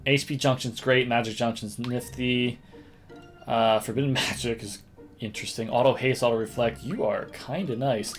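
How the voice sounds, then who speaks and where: A man streams a video game with casual, think-aloud commentary. His voice sits at an average pitch, and he sometimes hums to himself. Game music plays behind him. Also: fairly even intonation, normal speed. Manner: thinks aloud, hums little nonsense tunes.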